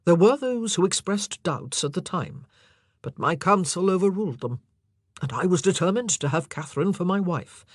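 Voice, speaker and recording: A narrator voicing an anguished, impassioned and forceful male monarch in an audiobook drama. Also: medium pitch, animated, normal speed, dry recording, clean studio sound, natural voice. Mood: insistent.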